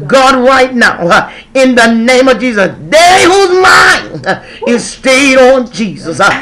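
Woman, prayer leader, slow chanting intercession, "uh"-laden phrasing, swells to shouts. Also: high voice, big pitch swings. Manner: chanting cadence, constant "uh" and "oh God" fillers, rising to shouts and cries.

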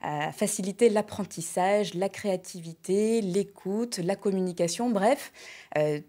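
A woman in her thirties guides viewers through simple exercises on a morning TV show. Her delivery is clear and upbeat, and she lists points in an even, steady rhythm. She sounds close and clean through a lapel mic in a bright studio. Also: mid-range voice, unhurried. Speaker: health and wellness columnist. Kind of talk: morning TV segment, explaining and demonstrating.

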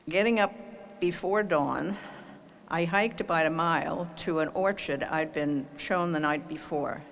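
An older woman giving a formal commencement speech from an auditorium podium, telling stories from her life in a measured way. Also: low voice, creaky. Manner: measured, anecdotal storytelling. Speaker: commencement speaker. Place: large auditorium stage. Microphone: podium mic on stand.

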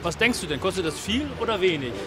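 A middle-aged man works as a street reporter. He interviews children in a friendly, encouraging way, outdoors on a city street with music clearly behind him. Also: high voice, lively intonation, quick pace. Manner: upbeat, encouraging. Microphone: handheld mic with windscreen. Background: music, street and traffic sounds.

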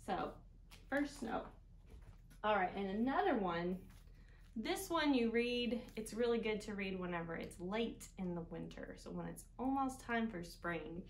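A woman's voice: medium pitch, expressive, measured pace. A young woman leading a picture-book storytime for children, talking to them encouragingly and inviting them to join in. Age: young adult. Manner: child-directed, encouraging participation. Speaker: children's librarian reading storytime. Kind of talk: picture-book storytime read-aloud, partly sing-song. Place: small indoor room on a couch. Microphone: camera a few feet away. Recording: echoey room, some background noise.

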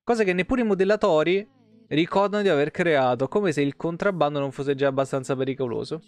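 Higher-voiced man streaming over soft music. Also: a little fast, no room sound. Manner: informal, breaks off to comment. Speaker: video game streamer. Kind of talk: reading game lore aloud with casual live-stream asides. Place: indoor home streaming setup. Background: soft plucked guitar game music.

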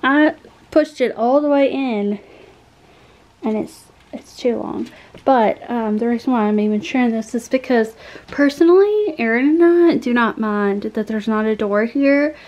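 Lady vlogging at home, kinda high voice, chatty, lots of ums, phone held out. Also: expressive, measured pace, a little mellow. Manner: chatty, encouraging, lots of fillers. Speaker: cleaning vlogger. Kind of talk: casual chat to camera. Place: small home bedroom. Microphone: phone at arm's length.

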